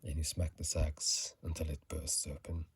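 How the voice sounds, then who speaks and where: A video host whispering softly in a breathy, hushed voice, reading aloud and adding casual commentary in an ASMR style, close to a phone mic. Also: a bass voice, little pitch movement, normal speed. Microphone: phone mic held close.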